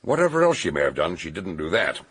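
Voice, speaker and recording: An actor playing an older, learned detective in a radio drama, gravelly and husky, musing aloud and emphatic. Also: male voice, sits low, wildly swooping pitch, fast talker, a bit bright, full character voice. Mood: emphatic, reasoning. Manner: hesitant starts, repeated exclamations, ruminative.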